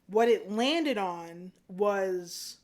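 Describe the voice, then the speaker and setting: A woman's voice: mid-range voice, expressive, extremely slow, darkish tone. A woman in her thirties, a guest on a podcast, talking in an easygoing, reflective way and laughing often. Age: 30s. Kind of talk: casual chat.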